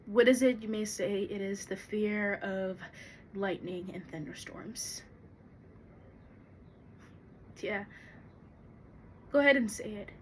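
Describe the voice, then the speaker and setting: A woman performing a theatrical monologue as an anxious, fearful young woman, confiding haltingly with dramatic pauses. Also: medium pitch, slight background noise, natural voice. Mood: hesitant, confiding. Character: anxious young woman confessing a terror of thunderstorms.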